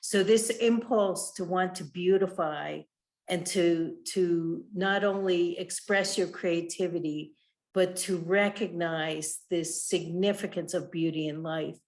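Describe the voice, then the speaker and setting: Woman interviewer, low voice, slow, measured, video call. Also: fairly even intonation, a little mellow. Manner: thoughtful, measured, frequent "you know". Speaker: interviewer, writer and curator. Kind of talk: interview questions. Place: home in the Southwest.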